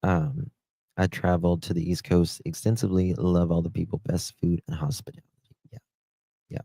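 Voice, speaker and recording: Southern dude hosting a stream, takes his time, dry close mic, lots of fry. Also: low voice, little pitch movement, warm tone, no room sound. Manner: halting, unhurried. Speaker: livestream host. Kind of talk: casual livestream commentary. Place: small room at home, indoors. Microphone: close desk microphone.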